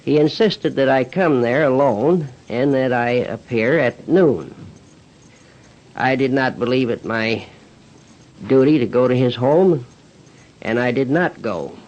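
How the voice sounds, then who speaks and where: A man with a medium-pitched voice gives a formal account as a prosecuting attorney. He is deliberate and a little halting. The sound is that of a dull, lo-fi old radio recording. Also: a little mellow. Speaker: special assistant prosecuting attorney. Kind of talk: formal testimony for a radio documentary.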